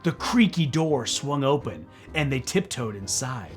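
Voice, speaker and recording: A male comedian reads a children's story in a theatrical, playful style. His medium-pitched voice moves expressively over faint music. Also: big pitch swings, unhurried. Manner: dramatic, silly, playful. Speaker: comedian reading children's books aloud.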